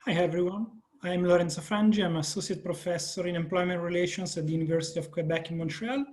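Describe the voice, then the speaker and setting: A man's voice: high voice, fairly even intonation, fast talker, a little mellow. Italian-accented man introducing himself. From Italy. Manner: earnest, searching for words, frequent restarts. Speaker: panelist. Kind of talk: conference panel introduction.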